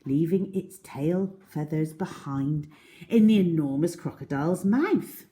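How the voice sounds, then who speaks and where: Slow, expressive woman storyteller, lowish voice. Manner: dramatic, deliberate. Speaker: children's storyteller. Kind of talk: expressive scripted story narration.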